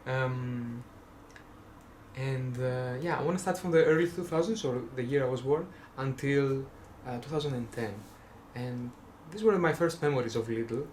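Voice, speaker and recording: A man's voice: mid-range voice, moderate pace, a little mellow, a little hiss or hum. A young man from Greece with a Greek accent, rambling casually in a vlog, full of fillers and self-restarts with wry jokes. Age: young adult. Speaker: vlogger.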